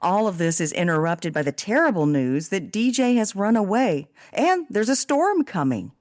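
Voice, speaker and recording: A woman hosting a podcast, discussing a book in a reflective, expressive and emphatic way. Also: medium pitch, normal speed, no room sound, studio quality. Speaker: podcast host.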